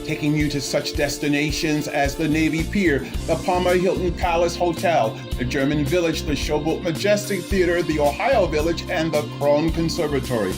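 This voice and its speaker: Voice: mid-range voice, normal speed, hardly any pauses. An announcer reading a grand, rhythmic show introduction over music. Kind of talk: scripted promo narration.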